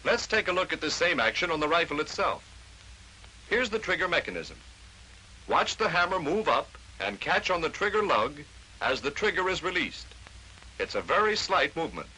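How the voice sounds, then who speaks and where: A man's voice: on the high side, big pitch swings, moderate pace, bright, forceful tone, slight background noise, rough recording. An American man narrating a scripted 1940s instructional film, explaining step by step to the viewer on an old film soundtrack. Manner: clear step-by-step explanatory delivery, addressing the viewer. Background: voice-over on a training film.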